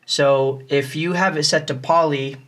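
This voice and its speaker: A man's voice: on the high side, fairly even intonation, a little slow, fairly bright tone. A man giving a casual, step-by-step tutorial in a plain, methodical way. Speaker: music tutorial host.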